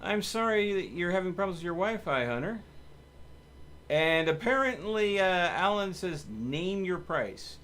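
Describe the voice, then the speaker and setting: A man's voice: sits high, lively intonation, unhurried, a bit bright. A man hosting a live game stream, chatting casually and commenting on his play, with game music behind him. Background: video game music.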